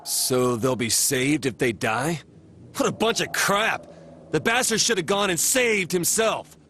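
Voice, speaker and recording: A man voicing a hot-headed, slangy teenage delinquent in a video game dub, blunt and rough in manner. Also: fairly high pitch, expressive, a little slow, sharp tone, slight background noise. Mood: indignant.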